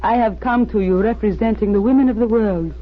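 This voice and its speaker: An actress playing a crafty, beautiful spy posing as an earnest peace campaigner, urgent and persuasive in old-time radio style. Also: female voice, mid-range voice, fairly quick, close to an everyday voice. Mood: urgent persuasion. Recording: tinny, noisy old radio recording.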